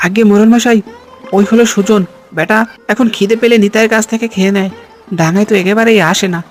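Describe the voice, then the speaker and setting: A man voicing a sneaky, excitable henchman who fawns on his village boss in a cartoon. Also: high voice, fast, a little hiss or hum, close to an everyday voice. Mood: tattling. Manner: obsequious, excitable. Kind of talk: Bengali cartoon voice acting.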